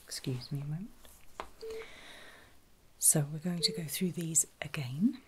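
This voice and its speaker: A woman speaking in a breathy whisper, gently reassuring and encouraging as she plays a clinician in an ASMR medical roleplay. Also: low-pitched, unhurried, very noisy. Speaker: ASMR performer playing a surgical clinician.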